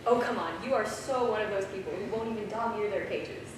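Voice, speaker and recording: A woman's voice: medium pitch, brisk, close to an everyday voice. A woman acting a bold, teasing young science student in a staged play reading. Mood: playful, teasing. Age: young adult. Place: small theater stage. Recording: very echoey, noisy theater, far off.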